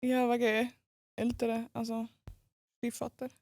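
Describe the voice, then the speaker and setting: A woman's voice: on the high side, monotone, a little mellow, no echo. A woman with a Swiss German accent, a guest in a casual podcast interview, talking candidly and without filter. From Switzerland. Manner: candid, quick and unfiltered.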